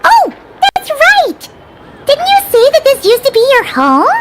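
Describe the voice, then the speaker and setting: A woman voicing a small, excitable, curious fairy-like game companion who exclaims in a childlike manner. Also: very high voice, measured pace, very noisy, exaggerated voice. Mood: curious, excited. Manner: childlike, exclaiming, refers to herself by name. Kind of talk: video game voice acting.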